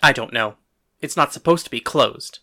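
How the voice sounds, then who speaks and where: Woman putting on a low, indignant male mayor. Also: moderate pace, pushed and bright. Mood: evasive, defensive. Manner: indignant, blustering. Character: bound male mayor, defiant, exasperated husband. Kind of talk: audiobook character dialogue.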